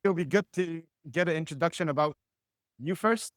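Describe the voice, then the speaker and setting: A man hosts a podcast in a relaxed, casual way. His voice sits a little high. The recording is dry and close. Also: normal speed, no echo. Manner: relaxed, casual, says "dude". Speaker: podcast host. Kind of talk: casual interview chat.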